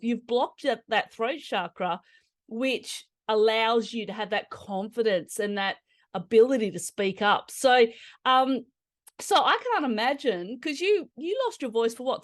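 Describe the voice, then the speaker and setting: A woman's voice: on the high side, no echo, clean studio sound. A woman hosting a podcast interview, empathetic and sharing her own experience in conversation. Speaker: podcast host.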